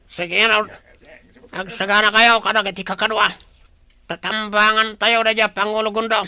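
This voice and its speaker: A man playing an obedient, scheming henchman with a pinched, creaky voice in an Ilocano radio drama. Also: high-pitched, flat delivery, moderate pace, pushed and bright, dry recording. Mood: plotting.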